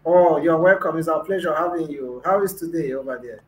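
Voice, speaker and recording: A man's voice: fairly high pitch, brisk, a little mellow, poor audio. Hesitant male interview host. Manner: hesitant, many fillers. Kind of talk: online interview.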